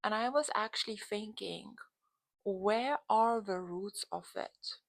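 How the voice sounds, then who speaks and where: Lithuanian woman chatting to camera like a coach, lots of vocal fry, really dry recording, emphatic. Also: mid-range voice, slow, no echo. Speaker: dating and relationship coach, channel host. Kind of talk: casual talk to camera.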